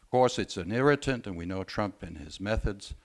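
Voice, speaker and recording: Low-voiced male panelist, measured. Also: expressive. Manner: measured, with frequent hesitations. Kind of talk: panel discussion remarks. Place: conference room.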